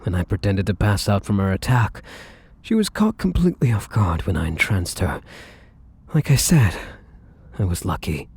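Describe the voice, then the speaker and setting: A man playing a tender, devoted, battle-weary vampire lover in an intimate ASMR roleplay, speaking softly and breathily with gentle, hesitant, affectionate pauses. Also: fairly low pitch, sing-song, exaggerated voice. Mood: gentle, reassuring. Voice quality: soft, breathy, husky.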